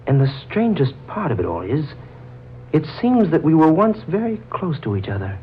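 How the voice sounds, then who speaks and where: Earnest puzzled guy, old radio play, halting but very expressive, muffled sound. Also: a little high, a little hiss or hum. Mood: musing, puzzled. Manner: halting, musing. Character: puzzled, earnest male newcomer, drawn to a woman. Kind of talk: 1940s radio acting.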